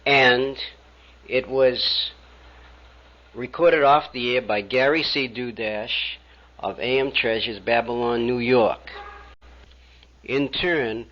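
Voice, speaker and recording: A man's voice: mid-range voice, slow pace, long pauses. A man giving an informational announcement as an archive presenter, on a muffled recording. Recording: muffled, hissy tape.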